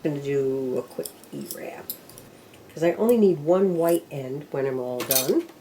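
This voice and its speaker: A woman's voice: low voice, lively intonation, moderate pace, dark tone, a little hiss or hum. Older woman talking through her knitting. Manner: talks to herself while working, counting rows aloud. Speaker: knitting instructor. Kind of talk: casual step-by-step craft demonstration.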